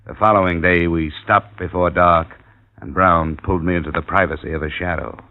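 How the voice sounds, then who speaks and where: An actor playing a tough, world-weary American investigator in 1950s radio noir, terse and measured in dialogue and narration. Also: male voice, low-pitched, steady tone. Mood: somber narration. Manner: terse, measured, hard-boiled. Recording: muffled, noisy old radio recording.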